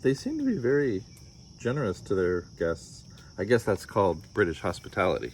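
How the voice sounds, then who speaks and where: A man's voice: fairly low pitch, very animated, fairly quick, a little hiss or hum. An American man reading a children's story aloud, breaking off for wry, casual asides. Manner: wry, joking commentary. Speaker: storybook reader.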